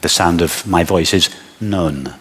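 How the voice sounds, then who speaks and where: Very slow, low-voiced man guiding meditation. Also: a bit bright, husky, long reverb tail. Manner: measured, instructing in short phrases with long pauses. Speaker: meditation teacher. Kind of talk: guided meditation.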